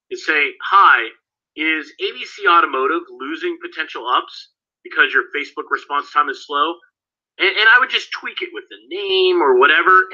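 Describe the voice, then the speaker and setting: Guy losing his voice running a live online class, really bright sharp tone, dull lo-fi call audio. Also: a little high. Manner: casual, talks straight to students by name. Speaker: course instructor. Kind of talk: live online training session.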